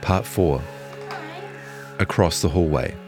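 Guy with a really low voice narrating a long news article, guitar music behind him. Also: takes it slowly. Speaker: journalist narrator. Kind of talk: scripted longform article narration.

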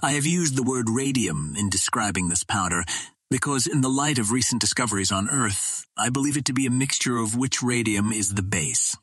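A man narrating a first-person adventure story as a scripted audiobook, in a formal, measured literary manner. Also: medium pitch, lively intonation, fairly bright tone, husky, dry recording, studio quality.

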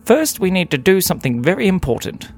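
Storyteller being a naughty teddy bear boss, sly and cheeky, lively delivery, kids story. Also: medium pitch, quick pace, soft background music, voice pushed up. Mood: bossy, conspiratorial. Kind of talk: children's story character voice.

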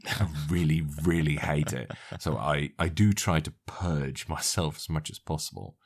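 A man with an extremely low voice and a Dutch-tinged British English accent. He answers interview questions frankly, in a dry, matter-of-fact tone. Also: normal speed. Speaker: YouTuber guest. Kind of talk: interview conversation.

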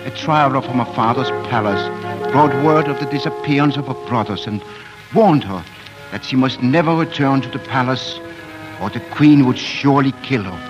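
Male storybook narrator, 1940s radio manner, lyrical, medium voice, clear musical backing. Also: mid-range voice, normal speed, dull audio, loud music behind the voice.

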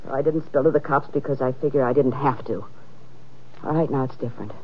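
An actress playing a brash, world-weary schemer who talks in sardonic slang, in an old-time radio crime drama. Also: female voice, a bass voice, fairly quick, slight background noise. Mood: grudging confession. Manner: sardonic, slangy tough-dame talk. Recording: muffled old radio recording.

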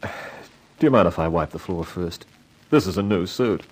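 An actor playing an excitable, wisecracking employee in a 1950s radio detective drama, blustering and easily cowed. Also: male voice, deep voice, normal speed. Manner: indignant bluster sliding into stammering deference.

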